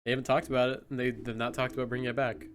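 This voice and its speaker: A man co-hosting a casual pop-culture podcast, animated and jokey, quick to laugh. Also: medium pitch. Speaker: podcast co-host.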